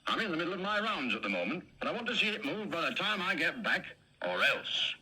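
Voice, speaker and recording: A man's voice: fairly high pitch, big pitch swings, fast talker, sharp tone, slight background noise, full character voice, rough recording. A man voicing a pompous, blustering male village constable in a cartoon. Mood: officious. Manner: officious, blustering.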